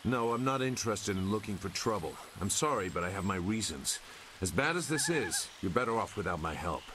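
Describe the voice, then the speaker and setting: Man acting deep-voiced loner warrior, guarded, terse, world-weary, game character, clear background noise. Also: expressive, brisk, close to an everyday voice. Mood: weary, reluctant.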